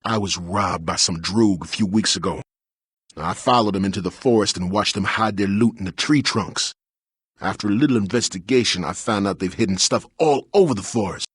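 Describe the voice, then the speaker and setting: An actor playing a secretive, cautious townsman in a fantasy game, husky, confiding in urgent colloquial speech. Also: fairly low pitch, moderate pace, no echo, studio quality. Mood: furtive, nervous. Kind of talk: fantasy game character acting.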